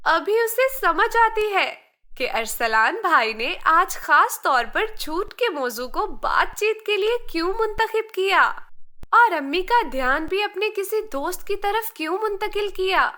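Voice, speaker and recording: Female narrator for kids' moral tales: scripted, bright, unhurried delivery. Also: extremely high pitch, animated. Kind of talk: scripted children's story narration.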